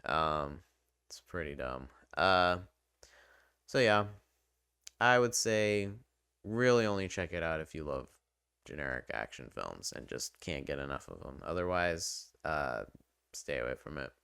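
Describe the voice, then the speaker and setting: A man's voice: a bass voice, very animated, a little slow, gravelly, sharp tone, clean tone, no echo, clean studio sound. A man giving a casual, opinionated review in a rambling, conversational way, often hedging as he goes. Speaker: video reviewer.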